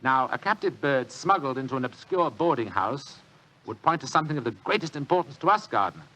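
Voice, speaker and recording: An actor playing a lazy, pompous, shrewd British government official with a grumbling, dryly superior manner, in 1940s radio drama style. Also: male voice, medium pitch, lively intonation, a little fast, sharp tone, poor audio. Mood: shrewd, intent.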